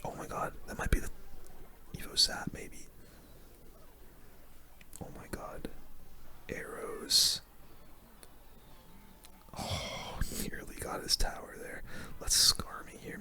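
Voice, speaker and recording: A young man speaks entirely in a bright, breathy whisper, close to the mic. He gives ASMR gameplay commentary as host, casual and slangy, reacting to each move as he plays. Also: slow, long pauses. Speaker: gaming video host.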